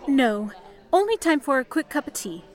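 High-voiced young trainee operator. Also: female voice, big pitch swings, normal speed, darkish tone, soft background music. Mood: eager, polite. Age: young adult. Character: young, eager, obedient trainee switchboard operator. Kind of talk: period radio play acting.

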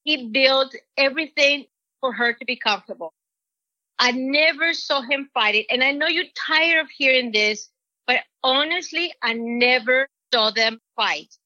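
A woman's voice: high-pitched, pushed and bright, no room sound. Earnest woman with a Mexican accent. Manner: rambling, earnest, halting restarts. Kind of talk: personal testimony. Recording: dull lo-fi recording.

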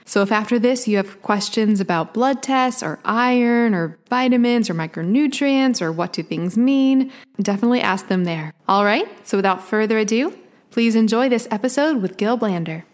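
A woman's voice: on the high side, lively intonation, moderate pace. A woman hosting a health podcast, upbeat and emphatic as she introduces her guest. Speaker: podcast host. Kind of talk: enthusiastic podcast interview.

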